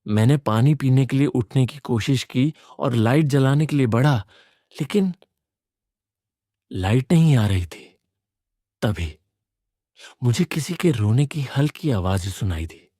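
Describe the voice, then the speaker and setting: A man narrating a scripted first-person horror story in a suspenseful, deliberate manner. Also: mid-range voice, animated, mellow, dry recording, clean studio sound.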